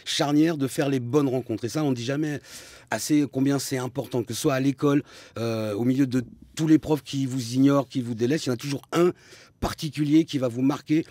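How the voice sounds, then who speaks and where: A man's voice: mid-range voice, fairly quick, no echo. A man with a Parisian accent, a comedian guest chatting casually and jokingly in a radio interview. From France. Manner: chatty, lively, joking, frequent restarts.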